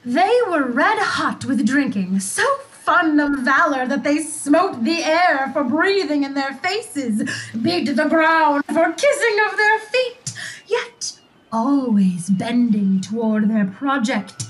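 Woman as a very high-pitched mischievous airy spirit. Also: takes it slowly, exaggerated voice, rough recording. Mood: gleeful mischief. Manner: animated, relishing storytelling. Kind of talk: Shakespearean radio play acting.

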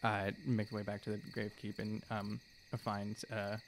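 A man's voice: lowish voice, monotone, normal speed, a little hiss or hum. A young man in casual improvised roleplay at a tabletop game, hesitant, on a studio microphone. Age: young adult. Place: small studio room indoors. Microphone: microphone on stand.

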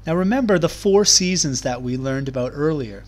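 Higher-pitched man teaching young children. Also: lively intonation, moderate pace. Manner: clear, simple explaining addressed to young children. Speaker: primary school teacher. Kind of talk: children's read-aloud lesson.

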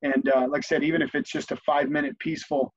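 A man's voice: on the high side, level tone, fast, husky. A man in his forties, a company CEO and guest, talking reflectively on a video call and often repeating words as he thinks. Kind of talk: conversational interview. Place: home office on a video call. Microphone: video call webcam. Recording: dull, lo-fi video call audio.

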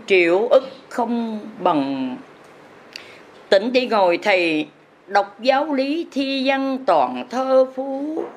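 A woman, a religious teacher who delivers her sermon as drawn-out, sing-song chanted verse and urges her listeners on. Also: on the low side, slight background noise.

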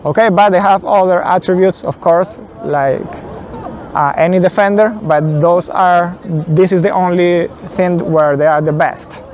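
A man in his thirties whose first language is not English, slowly explaining football tactics at a whiteboard outdoors, often saying "okay". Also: sits high, fairly even intonation, a little mellow, highs cut off. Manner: slow, deliberate, frequent "okay" fillers. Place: outdoors in a city square. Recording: faint outdoor city noise.

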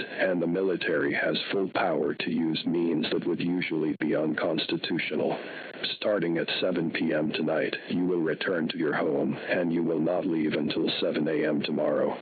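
A man voicing a cold, menacing government emergency announcer in a scripted horror broadcast, with stern, measured delivery through a radio filter. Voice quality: very deep, husky. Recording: muffled phone-like radio broadcast sound.

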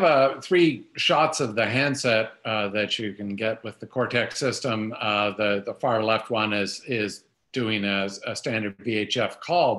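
A man's voice: mid-range voice, fairly bright tone. An older man giving an informal, explanatory webinar product demonstration over a video call. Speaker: presenter.

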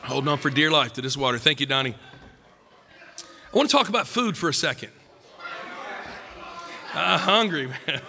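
A middle-aged man preaching at a pulpit, emphatic and joking, a little croaky from a cold. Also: a little high, moderate pace, pauses often, pushed and bright, big-room sound. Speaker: pastor. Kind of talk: sermon. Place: church stage at a wooden pulpit. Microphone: podium mic.

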